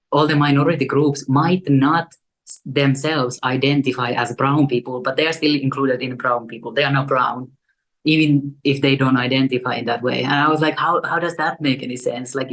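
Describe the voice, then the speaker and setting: A young woman with a Finnish accent and a deep voice, speaking as a guest in an earnest, reflective way. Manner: earnest and reflective, with light laughs. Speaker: guest artist. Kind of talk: casual interview conversation.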